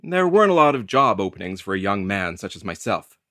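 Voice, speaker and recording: An actor playing a young, wry First World War veteran telling his story in a deliberate, dramatic audio drama monologue. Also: lowish voice, sing-song, a bit bright, no echo, clean studio sound. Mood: wry recounting. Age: young adult.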